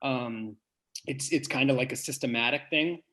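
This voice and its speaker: A man's voice: medium pitch, pushed and bright. Man with a big voice, thinking aloud. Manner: earnest, thinks aloud with many pauses and restarts. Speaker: head basketball coach. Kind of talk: podcast interview answers.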